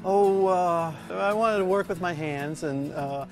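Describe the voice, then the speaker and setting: An older man who builds canoes talks casually in his woodworking shop, pausing often with fillers. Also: high-pitched. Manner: halting, many fillers. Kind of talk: casual interview. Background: background music.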